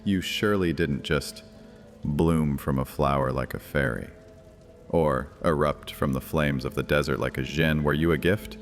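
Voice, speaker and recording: An actor playing a menacing, mocking vampire high priest in an audio drama, speaking in a taunting, theatrical, deliberate way. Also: male voice. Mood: probing, mocking. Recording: long hall reverb, music underneath.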